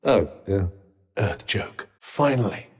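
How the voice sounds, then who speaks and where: An actor voicing a sardonic, erudite magical talking cuff in a video game, with a British accent, wry and articulate in a pompous way, with a husky voice. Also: male voice, lowish voice, animated, takes it slowly, full character voice. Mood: wry, superior. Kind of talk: video game character dialogue.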